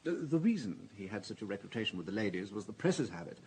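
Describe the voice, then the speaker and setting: An actor playing a guarded, stiffly proper business advisor in a radio drama, speaking formally with defensive hesitations. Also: male voice, fairly low pitch, very animated, fast talker, a little mellow, slight background noise.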